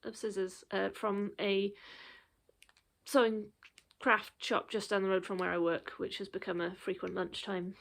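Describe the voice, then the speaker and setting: Chatty woman, slightly gravelly. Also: medium pitch, little pitch movement, a little slow. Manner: chatty, rambling with many ums. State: out of breath. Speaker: cross stitch vlogger. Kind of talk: casual show-and-tell.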